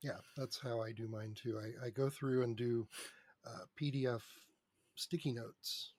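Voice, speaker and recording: A man with a husky voice talks casually as an interview guest. He is blunt and wry, pausing and restarting as he goes. The sound is dry, with no room echo. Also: medium pitch, fairly even intonation, a little mellow. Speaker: podcast guest, script reader and critic.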